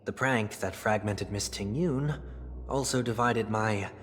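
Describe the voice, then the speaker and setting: An actor playing a melancholic, refined young man in a game cutscene, speaking in measured, poetic, wistful phrases. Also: fairly low pitch, close to an everyday voice. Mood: rueful. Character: melancholic young male fallen idealist, refined and soft-spoken. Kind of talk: dramatic video game cutscene acting. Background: music.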